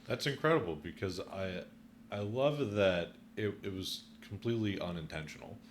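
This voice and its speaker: Brief: friendly, effusive male podcast host, fairly low voice. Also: animated, normal speed, a little hiss or hum. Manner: friendly, effusive with praise, some restarts. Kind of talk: casual interview chat.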